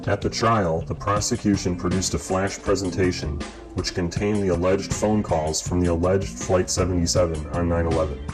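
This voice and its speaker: Deep-voiced man narrating over guitar music. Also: fairly even intonation, normal speed. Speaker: documentary narrator. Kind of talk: scripted narration.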